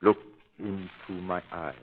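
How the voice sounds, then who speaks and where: A man acting a cold, domineering villainous hypnotist with a commanding, deliberate delivery in 1930s radio style. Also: low-pitched, fairly even intonation. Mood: menacing, hypnotic. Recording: muffled old 1930s radio recording.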